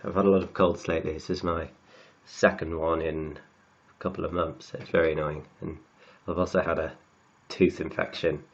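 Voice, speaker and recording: A man with a cold, croaky and sniffly, working slowly through textbook maths and often repeating words as he writes. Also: sits low, level tone, dull audio. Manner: halting, repeats words while writing. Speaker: maths tutor.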